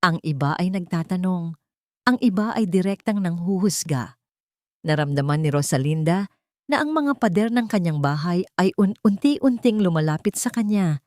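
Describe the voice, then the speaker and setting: A woman narrates a dramatic love story from a script. Her voice sits slightly low. The recording is dry, with very little room sound. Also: lively intonation, normal speed, darkish tone, no room sound.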